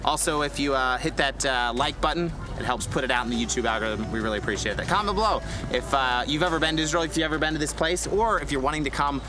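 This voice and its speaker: A man's voice: mid-range voice, quick pace, few pauses, over music. A young man in his twenties hosting a vlog outdoors in a vineyard, talking energetically straight to the viewers. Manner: energetic, addresses viewers directly. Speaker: host. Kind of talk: upbeat vlog presenting to camera. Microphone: clip-on lapel mic.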